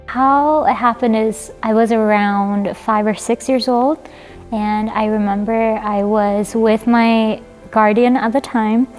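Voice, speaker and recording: A woman in her twenties, from China, gives a personal testimony. Her voice sits fairly high and she often pauses on fillers. Faint music plays under her words. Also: darkish tone. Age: 20s. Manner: halting, many fillers. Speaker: interviewee.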